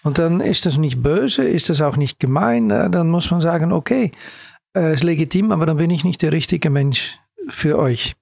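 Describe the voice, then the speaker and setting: A middle-aged man from the Netherlands with a Dutch accent, a native Dutch speaker answering interview questions in halting, hesitant phrases as a sports coach. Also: fairly high pitch, animated, creaky, darkish tone, dry recording, clean studio sound.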